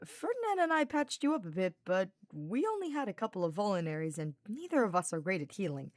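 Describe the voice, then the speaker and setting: A woman's voice: medium pitch, sing-song, fast talker, no echo, studio quality, lightly acted. A woman voicing a teasing, easygoing young male knight in a fantasy story, slangy and informal. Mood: exasperated concern.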